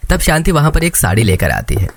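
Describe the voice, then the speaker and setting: A man's voice: on the high side, wildly swooping pitch, a little fast, gravelly. A man reading scripted narration for a cartoon family story.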